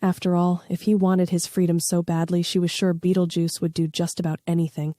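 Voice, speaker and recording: A woman's voice: on the low side, level tone, fairly quick, dark tone, no echo, studio quality. A woman reading a scripted story aloud as its narrator.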